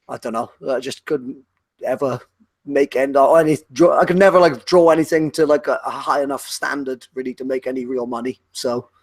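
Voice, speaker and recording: A man's voice: medium pitch, a little fast, no room sound. A young adult man from South Wales with a Welsh accent, chatting as a podcast guest and rambling with lots of fillers and restarts. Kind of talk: casual chat.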